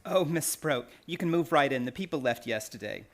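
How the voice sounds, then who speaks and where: A woman's voice: extremely low pitch, expressive, fairly quick, exaggerated voice. A woman playing a tired older landlady in a radio play, with folksy, uneducated, weary speech. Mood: weary, obliging.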